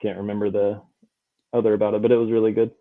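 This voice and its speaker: A man's voice: on the low side, completely flat delivery, racing. A man who is a guest player, chatting casually and laughing easily. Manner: laid-back, laughs often. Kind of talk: casual chat. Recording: tinny phone-like line.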